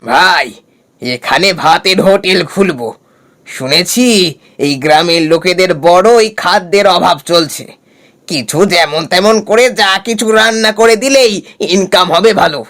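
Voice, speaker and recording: A man voicing a poor male day-labourer in a cartoon story, speaking slowly and humbly. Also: high-pitched, a bit bright. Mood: musing. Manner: pleading, slow.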